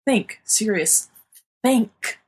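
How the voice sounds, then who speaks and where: Woman playing a worked-up teen boy in an audiobook, pitched way up, raspy edge, spluttery and outraged. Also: expressive, very slow, exaggerated voice. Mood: frantic, exasperated. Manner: shrieking, spluttering, emphatic. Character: frantic, exasperated, outraged teenage schoolboy. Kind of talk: dramatised fan-fiction audiobook reading.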